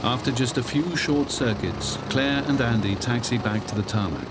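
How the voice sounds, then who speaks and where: A man giving the scripted narration of a documentary in a measured, even delivery. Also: medium pitch, very noisy.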